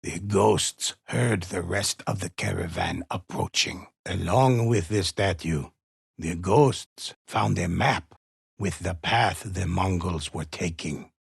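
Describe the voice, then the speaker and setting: Casting: an aged, grave game storyteller for dark folk tales, gravelly and ominous, close dry sound, unhurried delivery. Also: male voice, low-pitched, no room sound, full character voice. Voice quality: gravelly, husky. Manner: solemn, deliberate, ominous. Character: grave old male storyteller narrating a dark legend of ghost warriors. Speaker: narrator. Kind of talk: dramatic scripted game narration, folk-tale telling.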